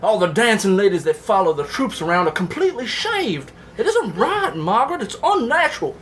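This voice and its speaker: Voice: medium pitch, very animated, fairly quick, fairly bright tone, a little hiss or hum. Lovesick, crude soldier with wildly swinging delivery , acted out. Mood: longing, earnest. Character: lovesick, crude Civil War soldier writing letters home. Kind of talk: comic dramatic letter reading for a live audience.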